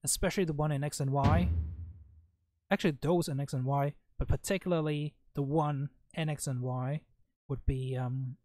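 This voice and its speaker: A man's voice: fairly high pitch, steady tone, normal speed, mellow, no room sound, studio quality. A man giving casual, rambling and blunt commentary as he hosts a gameplay video. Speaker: gaming video host playing and commenting.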